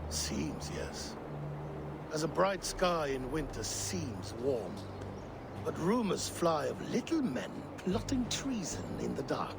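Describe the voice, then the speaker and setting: A gravelly scheming Viking jarl. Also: male voice, on the high side, sing-song, slow, heavy background noise, heavily acted. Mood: brooding. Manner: grand, theatrical, brooding. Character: proud scheming Viking jarl, middle-aged man.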